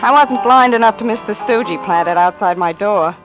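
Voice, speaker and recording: A woman's voice: medium pitch, moderate pace, lightly acted, rough recording. An actress playing a frightened but tough-talking young woman in a vintage radio mystery, slangy, sardonic and emotive. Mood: uneasy, wry. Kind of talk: 1940s radio acting, first-person narration and dialogue. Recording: vintage radio drama with music behind.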